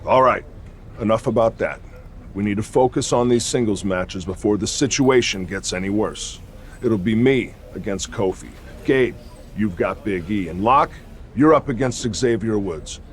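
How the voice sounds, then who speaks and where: A man's voice: fairly low pitch, moderate pace, slight background noise, close to an everyday voice. A man playing a scornful, commanding veteran wrestler who leads a faction in a video game. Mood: stern. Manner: commanding, cutting. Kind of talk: video game cutscene acting.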